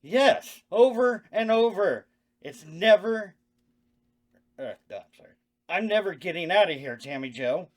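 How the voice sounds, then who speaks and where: A man's voice: sits high, animated, a little slow. A man playing a crude slasher killer. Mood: desperate. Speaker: actor at a table read.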